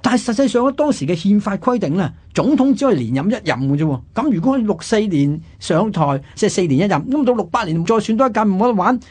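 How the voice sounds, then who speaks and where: A man's voice: high-pitched, very animated, fast, few pauses, a little mellow, slightly rough. A male radio host with a Hong Kong Cantonese accent, telling history conversationally and emphatically with rhetorical questions.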